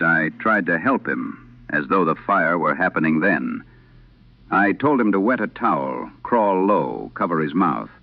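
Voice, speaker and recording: A man narrating a scripted vintage radio horror tale in the first person, in a grave, measured, gravelly voice. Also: sits low. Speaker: narrator of a horror audio drama. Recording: muffled, band-limited vintage radio sound.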